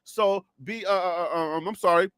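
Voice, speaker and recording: Man, high voice, casual sports host, rambling jokes, dry close sound. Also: moderate pace, a bit bright, clean tone, no room sound. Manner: rambling, joking, laughs often, talks to viewers.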